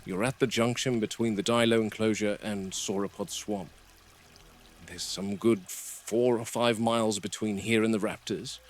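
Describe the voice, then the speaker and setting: Man acting a low, wary game warden, noisy tape. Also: steady tone, moderate pace, natural voice. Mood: tense, muttering. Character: wary middle-aged game warden, gruff, suspicious, alone at night. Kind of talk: found-footage horror acting, hushed muttering and radio call. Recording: noisy VHS-style found footage.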